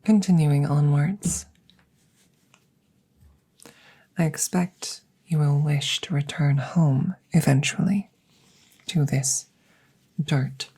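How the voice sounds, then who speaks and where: A woman's voice: sits low, slow, mellow, slightly rough, no room sound, exaggerated voice. A woman voicing a curious, clinical alien scientist in an ASMR roleplay, whispering breathily and phrasing things formally and precisely, close to the microphone. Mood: calm, businesslike. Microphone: close microphone, ASMR style.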